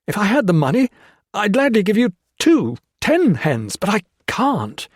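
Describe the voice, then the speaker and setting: A desperate captain begging for mercy, as voiced by a male reader, his words choked and raised in pitch. The sound is close and dry. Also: huge pitch swings, unhurried, husky, dry recording, heavily acted. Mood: pleading. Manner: choked, pleading, abject.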